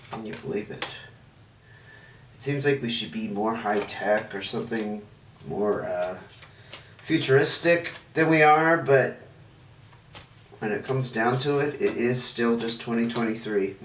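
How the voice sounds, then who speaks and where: Stuffy-nosed man reading tarot, roomy sound. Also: on the low side. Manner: chatty, thinks aloud while laying out cards. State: stuffy, sniffling. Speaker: tarot reader, channel host. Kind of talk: casual tarot reading to camera. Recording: roomy, distant mic, faint noise.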